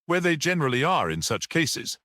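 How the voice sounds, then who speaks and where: A man's voice: fairly high pitch, big pitch swings, brisk, bright, forceful tone, dry recording, clean studio sound, voice pushed up. A man voicing a grave, self-satisfied detective in an audiobook mystery, assured and matter-of-fact.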